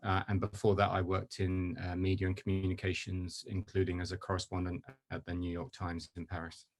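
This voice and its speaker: Low, flat-voiced man, matter-of-fact. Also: a little fast. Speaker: refugee agency official. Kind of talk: brief self-introduction.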